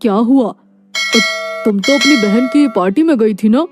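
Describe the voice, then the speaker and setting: A woman voicing a humble, proud poor husband in a moral story, calm and earnest. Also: a little high, moderate pace, over music, natural voice. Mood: reassuring. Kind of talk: animated moral story dialogue.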